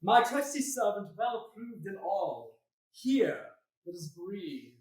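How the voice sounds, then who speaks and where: A man playing an eager young gentleman traveller, declaiming formally in a staged Shakespeare reading. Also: sits high, very animated, takes it slowly, heavily acted. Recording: very echoey room, far from mic.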